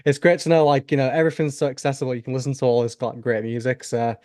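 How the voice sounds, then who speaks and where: A man's voice: medium pitch, little pitch movement, fast, darkish tone, no room sound. Man, podcast host, resonant voice, dead dry sound, eager casual talk. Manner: enthusiastic, lots of "like" and "you know". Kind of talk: casual chat.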